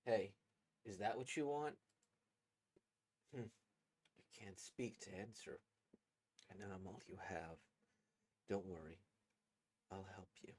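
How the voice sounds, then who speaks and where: A man performs an anxious student haunted by a ghost, telling a horror manga tale as inner monologue. The voice sits slightly low and stays hushed and brooding, with a suspenseful edge. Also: takes it slowly, pauses often, slightly rough, heavily acted. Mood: quietly resolved. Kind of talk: dramatic horror manga reading.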